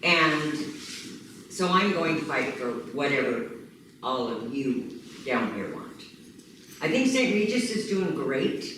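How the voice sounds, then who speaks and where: A woman running for county commissioner, speaking energetically and emphatically at a community meeting. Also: low-pitched. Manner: energetic, emphatic, lists things rapidly. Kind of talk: campaign talk at a community meeting. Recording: echoey room, distant mic, background noise.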